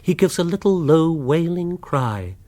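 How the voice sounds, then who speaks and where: A man's voice: a little high, animated, unhurried, darkish tone. An actor playing an urbane author narrating his tale with a refined English accent, dry, arch and polished, in a radio drama. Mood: wry.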